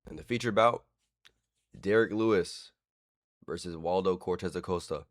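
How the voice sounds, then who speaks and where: A man's voice: low voice, moderate pace. A man hosting casual fight predictions, frank and rambling with casual swearing. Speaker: host.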